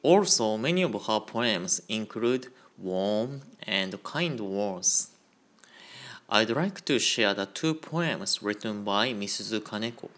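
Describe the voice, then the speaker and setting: A man giving a Buddhist Dharma talk on a radio program, in a slow, measured and careful delivery. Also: fairly low pitch, animated, a bit bright. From Japan. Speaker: Buddhist minister.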